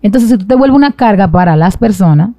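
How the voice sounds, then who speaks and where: A woman's voice: medium pitch, lively intonation, brisk, mellow, no room sound. A young woman with a Dominican accent, telling her own story as a guest in an interview. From Dominican Republic. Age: young adult. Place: small indoor studio set with a sofa. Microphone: boom-arm microphone close to the mouth.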